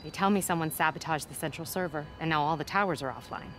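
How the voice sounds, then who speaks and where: A woman's voice: deep voice, fast, soft background music, lightly acted. An actress playing a no-nonsense police captain in her thirties in a video game, with a clipped, businesslike manner. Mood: urgent. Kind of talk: cinematic video game acting.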